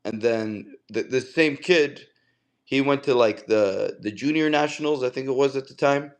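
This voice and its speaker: A man's voice: medium pitch, moderate pace, clean tone. A man, a pro boxer chatting casually as a guest in an interview and telling stories in loose, slangy speech. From Canada. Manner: laid-back, slangy storyteller.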